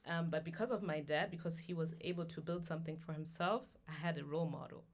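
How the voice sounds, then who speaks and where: Woman, from Germany, low pitch, thoughtful, measured, pausing often, podcast guest. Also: fairly even intonation. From Germany (Hamburg). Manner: thoughtful, measured, many "um" pauses. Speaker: guest, founder of a business platform. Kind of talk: conversational podcast interview.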